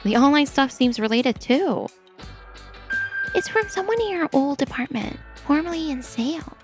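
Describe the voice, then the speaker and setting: Woman acting high-voiced, earnest office colleague. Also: animated, moderate pace. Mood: worried. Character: concerned female office colleague, earnest and supportive. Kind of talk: animated manga dub acting. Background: background music.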